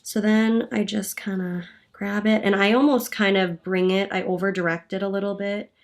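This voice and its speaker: A young woman talks through a get-ready-with-me tutorial in a chatty, upbeat way, thinking out loud as she works. She sounds a little stuffed up from a cold, and a gravelly fry creeps into her voice. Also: medium pitch, steady tone, normal speed. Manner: chatty, upbeat, thinking aloud while working. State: congested from a cold, sniffly. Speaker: beauty vlogger, hair and makeup artist.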